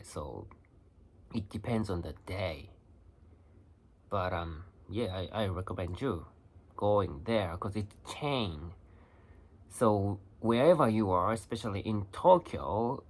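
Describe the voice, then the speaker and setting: Slow, low-voiced Japanese man vlogging. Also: creaky, breathy edge. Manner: halting, restarts phrases, lots of "you know". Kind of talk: casual vlog talk.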